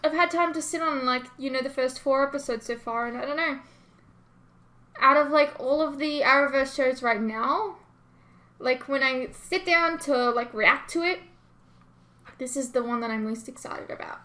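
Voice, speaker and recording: Female reaction host, Australian accent, high pitched and bright, rambling, self-deprecating casual delivery. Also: normal speed. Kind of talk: casual chat to viewers, reading and answering comments.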